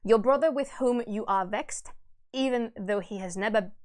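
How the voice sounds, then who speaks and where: A woman's voice: a little high, moderate pace, a little mellow, lightly acted. A woman reading the words of a noble old king and anxious, loving father in a stately, earnest, formal manner. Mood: stately, pleading.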